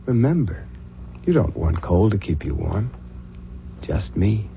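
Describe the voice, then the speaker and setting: An actor playing an easygoing, wry young husband in an old-time radio drama. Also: male voice, sits low, very animated, unhurried, creaky, dark tone, exaggerated voice. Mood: playful. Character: easygoing, joking young bridegroom. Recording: muffled, noisy old radio recording.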